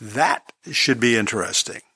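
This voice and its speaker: A man with a bright, forward voice and middling pitch hosts a programme of old radio shows. He announces each one in a casual style. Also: animated, a little slow, husky. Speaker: podcast host introducing old radio shows.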